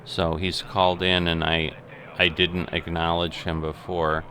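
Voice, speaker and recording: A middle-aged man giving unscripted simulation game commentary, thinking aloud in a methodical, halting way. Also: sits low, little pitch movement, pushed and bright, a little hiss or hum. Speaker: gaming video host.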